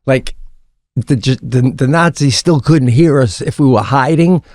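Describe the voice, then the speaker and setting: A man co-hosting a comedy podcast, telling stories in an animated way, swearing freely and restarting his sentences. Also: mid-range voice, measured pace, no echo, studio quality. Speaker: podcast co-host. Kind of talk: casual comedic chat.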